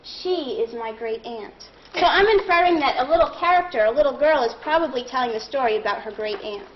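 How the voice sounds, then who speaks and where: A woman leading a read-aloud lesson as a primary teacher, deliberate and instructive, thinking out loud. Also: high-pitched, animated. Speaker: primary school teacher reading aloud to a class. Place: classroom. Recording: muffled classroom recording with clear background noise.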